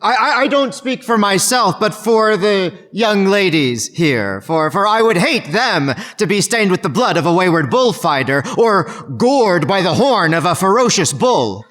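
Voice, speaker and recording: A man's voice: sits high, sing-song, a little slow, few pauses, a bit bright, heavily acted. A man playing a nervous, defensive, fussy father in a comic stage play. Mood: nervous pleading. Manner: stammering, fussy. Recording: reverberant hall.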